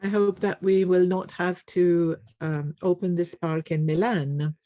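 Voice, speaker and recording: An interpreter renders a live panel into English, speaking slowly and halting over frequent fillers. The voice is mellow and dark. The sound is close and dry, with no room echo. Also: medium pitch, fairly even intonation, highs cut off. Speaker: conference interpreter. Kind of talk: simultaneous interpretation of a panel discussion.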